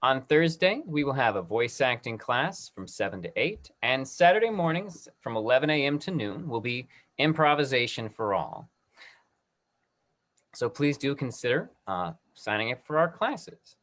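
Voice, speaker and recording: Halting man hosting an online event. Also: medium pitch, big pitch swings, a bit bright. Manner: halting, repeats himself. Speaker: host. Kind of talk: online talk-back hosting and announcements.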